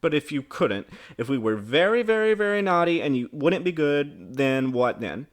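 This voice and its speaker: A man's voice: mid-range voice, very animated, unhurried, voice pushed up. A man voicing a small, passionate girl in a storybook, pleading with wailing emphasis.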